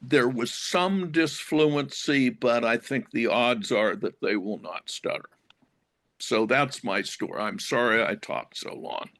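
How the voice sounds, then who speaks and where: An older man shares a personal story with a group, taking his time and apologizing for talking long. His delivery is very slow and unhurried, and the sound is dry and close. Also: mid-range voice, a bit bright, dry recording. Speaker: retired professor who stutters.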